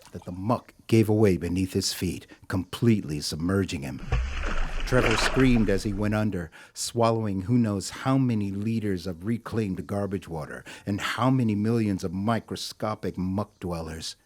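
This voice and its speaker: A man narrating a scripted audio drama as a storyteller, with a measured, wry, theatrical delivery. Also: on the low side, darkish tone, natural voice.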